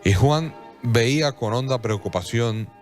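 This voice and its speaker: A man giving solemn, scripted radio drama narration with measured, dramatic pauses. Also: mid-range voice, soft background music.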